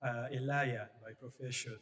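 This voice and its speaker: A young man, a head boy answering questions earnestly and giving a loud public speech. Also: medium pitch, fairly even intonation, moderate pace, reverberant. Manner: earnest, rises to loud declaiming in his speech. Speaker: head boy, student speaker.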